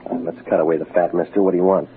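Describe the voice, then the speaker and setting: Hard-boiled male private eye for an actor, low, rapid, deadpan wisecracks, 1940s radio noir on a muffled old recording. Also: slight background noise, lightly acted. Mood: deadpan, guarded. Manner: terse, deadpan, wry.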